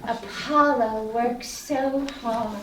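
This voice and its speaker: A woman playing a fussy, chatty goddess wife and doting aunt in a live stage comedy, with gushing, drawn-out phrasing. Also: a little high, steady tone. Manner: gushing, solicitous, drawn-out exclamations. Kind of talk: live one-act stage comedy acting. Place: stage of a small playhouse. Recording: distant echoey theatre, clear background noise.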